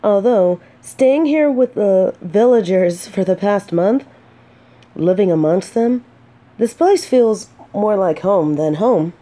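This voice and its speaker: A woman playing a gentle, earnest female knight in a fantasy romance audio drama, speaking softly with hesitant, dramatic pauses. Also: medium pitch, animated. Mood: shy, fond. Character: gentle, earnest female knight consoling a despairing blind companion.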